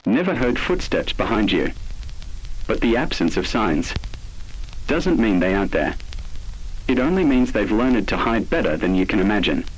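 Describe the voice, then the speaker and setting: A man reading scripted analog horror narration in an ominous, deliberate manner, speaking straight to the listener. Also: lowish voice, sing-song, a little hiss or hum, low-quality recording. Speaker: narrator of an online horror essay.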